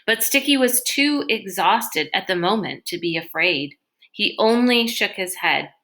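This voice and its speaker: Bright-voiced woman reading aloud slowly. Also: medium pitch, expressive. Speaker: storybook reader. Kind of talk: expressive read-aloud narration.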